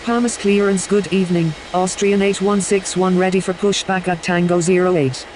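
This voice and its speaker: A man with a distinctly high voice makes formal pilot radio calls. Clear aircraft-like noise drones along with him. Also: steady tone, normal speed. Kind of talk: scripted radio phraseology.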